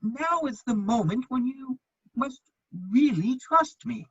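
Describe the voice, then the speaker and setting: A man playing a witty, loyal gentleman bachelor with a husky voice, declaiming earnestly in a theatrical reading of a period comedy. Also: extremely high pitch, expressive, slow, no echo, dull audio, full character voice. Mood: urgent, pleading.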